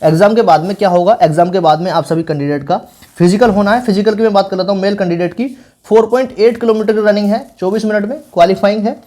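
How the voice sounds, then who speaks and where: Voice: mid-range voice, quick pace, mellow. A speaker giving informal exam coaching advice as an online teacher, in an instructive, repetitive way with frequent check-in tags.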